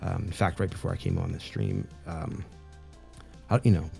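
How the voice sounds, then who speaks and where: A man with a gravelly, mellow low voice chats loosely on a live stream. He stops and restarts often, filling gaps with "um" and "uh". Faint music plays underneath. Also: sing-song. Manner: halting, many fillers and restarts. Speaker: streamer co-host. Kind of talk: casual live-stream interview.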